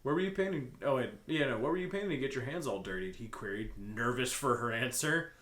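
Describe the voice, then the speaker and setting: Man, medium pitch, comedic story reading, casual banter, joking asides, echoey room, faint background noise. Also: expressive, fast talker, fairly bright tone. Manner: joking, mocking asides between narration. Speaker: co-host reading fan fiction aloud.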